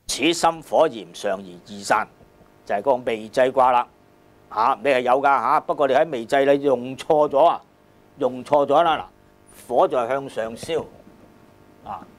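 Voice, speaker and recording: A male lecturer with a Hong Kong Cantonese accent and a mid-pitched voice. He explains an old text in plain colloquial speech, stressing key words, repeating phrases and tagging points with rhetorical questions.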